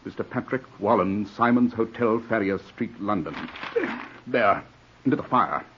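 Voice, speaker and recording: A man's voice: fairly low pitch, expressive, moderate pace, a little hiss or hum, lightly acted. An actor in a 1940s radio drama playing a stern, ambitious barrister, a cold, self-serving man with a clipped, commanding delivery. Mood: curt, commanding. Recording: muffled vintage 1940s radio recording.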